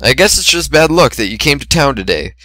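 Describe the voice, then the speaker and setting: A man's voice: mid-range voice, sing-song, brisk, pushed and bright, no echo, studio quality, pitched above the performer's own voice. A man voicing a brash young female flying pony in a story, with a breezy, teasing manner.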